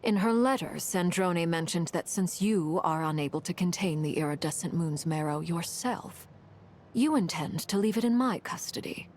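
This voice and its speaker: An actress plays a composed noblewoman warrior in a fantasy video game. Her voice is on the low side, and she speaks formally, with solemn, measured authority. Faint noise sits behind her. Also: darkish tone, close to an everyday voice. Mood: businesslike. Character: composed, commanding woman harbinger with cursed blood fire. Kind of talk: dramatic video game voice acting.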